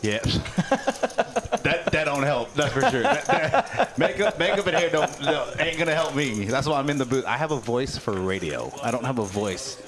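A man's voice: mid-range voice, lively intonation, normal speed, crowd noise. A man producing and co-commenting on a live poker stream, talking in a rambling, chatty way and addressing viewers in chat from a production booth.

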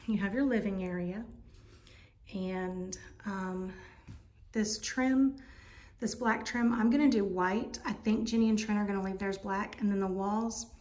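A woman's voice: mid-range voice, fairly even intonation, a little slow, a little mellow, lo-fi sound. A woman giving a chatty, rambling walkthrough of an empty model home, filming on a handheld phone. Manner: chatty, rambling, many fillers. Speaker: vlogger touring a mobile home. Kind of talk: casual home tour walkthrough. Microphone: handheld phone camera.

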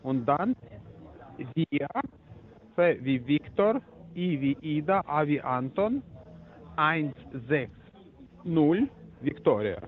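A call center agent on the phone, speaking haltingly with many fillers and restarts. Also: male voice, a little high, expressive. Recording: tinny phone line.

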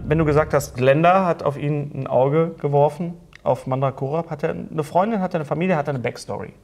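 A man in his forties hosts a casual studio talk. His voice is slightly higher than average. He chats informally and keeps interjecting with questions that prod the other speaker. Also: fast talker, a little mellow. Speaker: talk show host. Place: small indoor talk-show studio.

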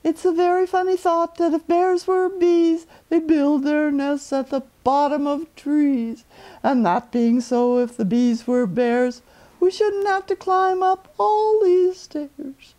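A woman's voice: high-pitched, slow pace, mellow, voice pushed up. A woman voicing an earnest little male bear from a storybook, pondering aloud and exclaiming in a singsong way.

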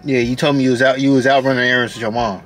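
A man's voice: medium pitch, level tone, racing, a bit bright, faint music behind. A man in his twenties with an American accent arguing casually and heatedly in a car, exasperated, slangy and sweary, repeating himself. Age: 20s. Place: inside a parked car. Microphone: camera on the dashboard.

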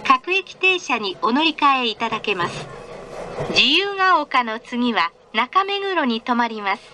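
A woman's voice: on the high side, very animated, measured pace. A woman making scripted train announcements in a polite, formal, carefully enunciated way. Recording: train announcement with clear vehicle noise. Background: moving train noise.

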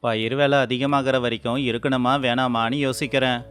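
A man acting an earnest, indecisive village farmer husband in a moral story cartoon, speaking plainly and deliberately. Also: mid-range voice, level tone, fairly bright tone, soft background music, natural voice. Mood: worried, pondering. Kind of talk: acted dialogue in a Tamil moral story cartoon.

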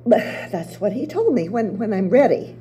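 Older woman reading innocent young Psyche: halting, eager, lively pitch, mellow tone. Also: a little hiss or hum, close to an everyday voice. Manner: halting, eager, stumbling over words. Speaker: playwright reading her own play. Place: small theater stage with audience. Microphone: table mic.

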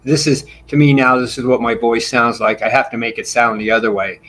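A man in his sixties, a bassist guest telling long rambling stories in a casual interview over a video call from a small home room. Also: little pitch movement, brisk, fairly bright tone, a little hiss or hum. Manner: talkative, rambling storyteller. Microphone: video call with desk mic.

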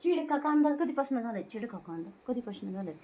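A woman talks to her cat in a high, sing-song voice, half scolding and half teasing. It sounds like a casual home video, with her pitch sitting above the usual. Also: sing-song, fast, mellow. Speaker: pet owner.

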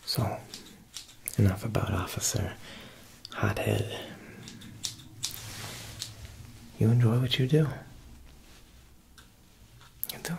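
A young man playing an Italian mob boss tailor in a whispered ASMR roleplay, with an Italian-American accent and a smooth, insinuating manner. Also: on the low side, expressive, noisy background, exaggerated voice. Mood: sly, negotiating. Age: young adult. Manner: slow, hushed, insinuating, with pauses.

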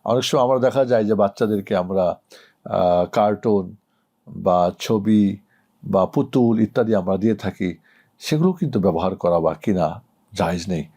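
Low-voiced man giving Islamic guidance. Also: normal speed, long pauses, dark tone, husky. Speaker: Islamic cleric answering a listener's question. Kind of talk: religious lecture, question and answer. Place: indoor studio set.

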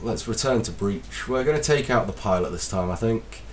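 A man with a slight cold, casually commentating his gameplay as a let's play host, excitable and self-deprecating. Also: lowish voice, moderate pace, far from the mic, a little hiss or hum.

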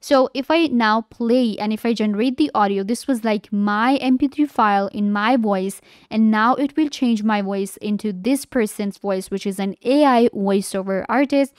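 A woman giving a casual step-by-step software tutorial as a voice-over presenter. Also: mid-range voice, normal speed, few pauses, clear, resonant tone, dry recording, clean studio sound.

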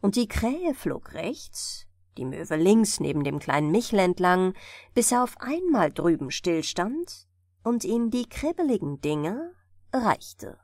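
A woman's voice: medium pitch, sing-song, unhurried, darkish tone, dry recording, studio quality. A woman storyteller narrating a scripted bedtime fairy tale for an audiobook.

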